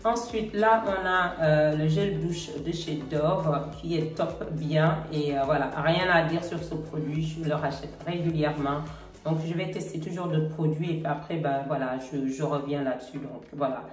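A middle-aged woman with a cold and a slightly congested voice, chatting casually to camera about products with a relaxed, hesitant manner. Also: deep voice, normal speed. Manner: relaxed, hesitant, many fillers. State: has a cold. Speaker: beauty vlogger. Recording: roomy, slightly distant, faint music.

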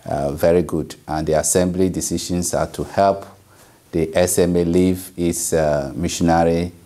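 A middle-aged man from Ghana with a Ghanaian accent, a priest giving measured interview answers with pauses and fillers, filmed indoors in front of a plain wall. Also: deep voice, fairly even intonation, dark tone. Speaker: priest and delegate. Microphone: camera at head-and-shoulders framing.

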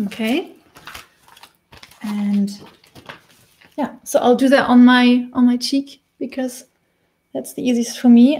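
German-accented woman in her thirties, warm and slightly high, relaxed chatty live-stream teacher. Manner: chatty, laughs often, restarts while painting. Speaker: face painting tutor. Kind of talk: casual live-stream tutorial. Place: small indoor room with decorated wall.

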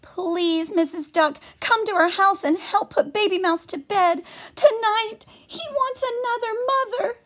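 A woman's voice: very high-pitched, measured pace, few pauses, a bit bright, voice pushed up. A woman voicing a worried, fretful mother mouse from a storybook in an emphatic, pleading way.

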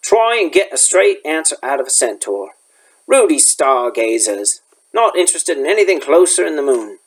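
Guy doing a gruff folksy forest guide from a storybook, blunt, protective, bad grammar, highish male voice. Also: expressive, moderate pace. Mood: grumbling. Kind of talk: storybook character voice.

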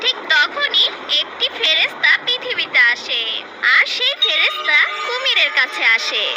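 Very high-pitched woman narrating a story. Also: big pitch swings, measured pace, low-quality recording. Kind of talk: scripted dramatic story narration.

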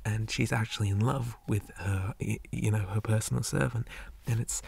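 A man playing a shy, old-fashioned zombie boy on a date in an ASMR roleplay, speaking softly and breathily, often near a whisper, with hesitant stammers and formal wording. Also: on the low side, steady tone, normal speed, vocal fry, a little hiss or hum. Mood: shy, excited. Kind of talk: ASMR roleplay, soft half-whispered talk.

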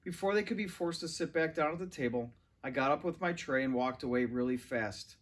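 Guy reading a kids book out loud in his living room, voice a bit on the high side. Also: fairly quick. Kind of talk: children's novel read-aloud. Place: large furnished living room, indoors. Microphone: camera or phone a few metres away.

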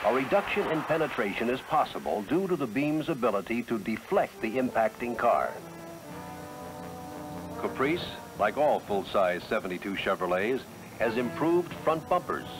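An American man narrates a 1970s promotional film over clear background music. His medium-pitched voice moves with animated intonation, and he delivers the script as a polished, emphatic announcer. The sound is that of a vintage film soundtrack. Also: normal speed, low-quality recording.

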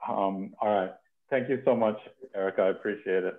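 A man hosting a poetry reading over a video call in casual, halting speech, often repeating words. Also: on the low side, fairly even intonation. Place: home kitchen, indoors. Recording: dull, thin video-call audio.

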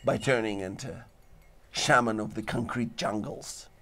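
A man hosting a talk show, declaiming in dramatic lists while his voice is going hoarse. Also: lowish voice, measured pace. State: losing his voice. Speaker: show host. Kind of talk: rambling philosophical monologue. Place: studio with green-screen backdrop.

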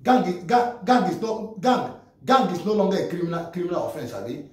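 A middle-aged Nigerian man vents to the camera, hammering his points with repeated words and restarts. His voice is fairly high and swings widely in pitch. It echoes off bare walls, picked up from a few steps away. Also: big pitch swings, brisk. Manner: emphatic, repetitive, stammering restarts, gesturing. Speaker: vlogger. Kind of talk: impassioned direct-to-camera rant. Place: small plain room indoors. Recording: echoey room, voice distant from mic.

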